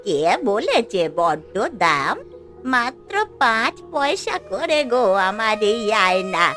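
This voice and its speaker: A woman playing a sly old woman peddler in a fairy-tale cartoon, coaxing customers with drawn-out street calls. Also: fairly high pitch, very animated, pushed and bright, heavily acted. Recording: music behind the voice.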